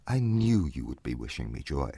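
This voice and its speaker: A man plays a proud young gentleman in an audiobook drama. His deep voice is measured and wry, moving expressively, in a dry close recording. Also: very animated, vocal fry, husky, dry recording, exaggerated voice. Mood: cool, wry.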